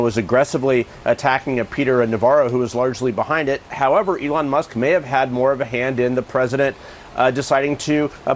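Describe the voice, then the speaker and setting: A man with an American accent delivers a live television news report as a correspondent. His voice is medium in pitch, with faint traffic and ambient noise behind it.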